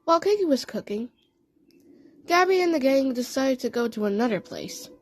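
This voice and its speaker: A woman reading out a scripted story with an expressive, storytelling delivery. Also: sits high, moderate pace, soft background music. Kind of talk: scripted fan story narration.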